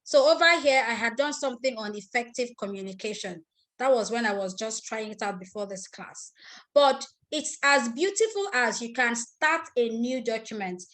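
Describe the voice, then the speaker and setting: A Nigerian woman with a somewhat high voice leads a live online tutorial over a computer mic. She talks through each step in turn, repeats key points and breaks off to ask attendees questions. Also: animated, moderate pace, a bit bright. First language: Igbo. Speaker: online class instructor. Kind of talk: live screen-share tutorial.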